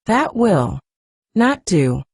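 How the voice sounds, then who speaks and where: A woman voicing a grave, worried male doctor in a storybook, measured and formal. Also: medium pitch, sing-song, a little mellow, husky, no echo, clean studio sound, exaggerated voice. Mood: grave, concerned.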